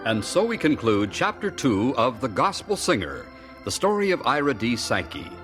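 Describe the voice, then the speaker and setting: A man's voice: mid-range voice, huge pitch swings, moderate pace, fairly bright tone. A man announcing a radio drama series in a scripted style. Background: organ music.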